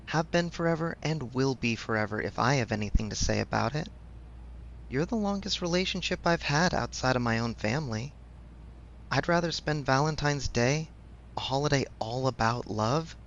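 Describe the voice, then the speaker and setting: A man's voice: medium pitch, normal speed, gravelly, dull audio, slight background noise, close to an everyday voice. A man playing a supportive best friend in a casual audio roleplay, speaking frankly with affectionate teasing. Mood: warm, affectionate. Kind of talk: ASMR audio roleplay, conversational.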